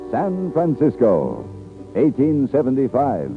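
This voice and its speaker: A man announcing a radio drama in dramatic, deliberate 1950s style. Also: mid-range voice, wildly swooping pitch, over music. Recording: tinny vintage radio recording.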